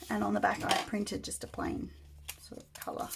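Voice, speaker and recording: A woman getting over a cold, her voice still croaky, chatting casually as she works on a journal at her craft table. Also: medium pitch, monotone, normal speed, very noisy. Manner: chatty, thinking aloud while working. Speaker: crafter demonstrating journal making.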